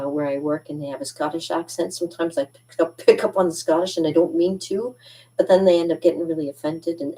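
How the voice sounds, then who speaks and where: A woman's voice: fairly low pitch, far from the mic, rough recording. A woman with a Canadian accent, chatting casually and rambling between songs, laughing often. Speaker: channel host. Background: loud ukulele strumming.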